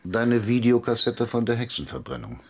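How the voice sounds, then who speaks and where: A man's voice: medium pitch, flat delivery, normal speed, creaky. An actor playing a manipulative, teasing man with an ironic, self-satisfied manner in a German radio drama. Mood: smug, taunting.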